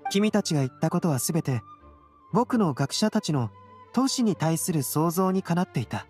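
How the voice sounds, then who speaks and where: A woman voicing a serene young male intellectual in an anime game, calm, reflective and polite. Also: lowish voice, normal speed, slightly rough, soft background music. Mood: calm, curious. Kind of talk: Japanese anime game voice acting.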